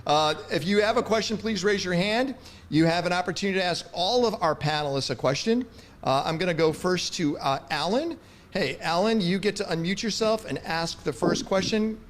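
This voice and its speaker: A man's voice: high-pitched, normal speed. A man hosting an online panel, playful and teasing as he calls on guests and takes questions. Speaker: panel host. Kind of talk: livestreamed panel moderation.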